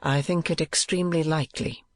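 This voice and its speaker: A man voicing a defiant, earnest young teacher who answers in a quiet, firm way. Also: a little high, fairly even intonation, brisk, no echo, pitched above the performer's own voice, natural voice.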